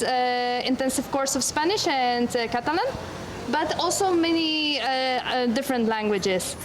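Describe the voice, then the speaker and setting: Woman, high voice, tour guide, halting with fillers, outdoors, street noise. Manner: hesitant, many fillers. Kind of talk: guided walking tour talk.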